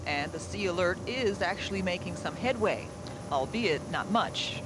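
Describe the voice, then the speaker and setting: A man delivers scripted documentary narration in a high voice. Clear vehicle noise runs behind his words. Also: lively intonation, moderate pace.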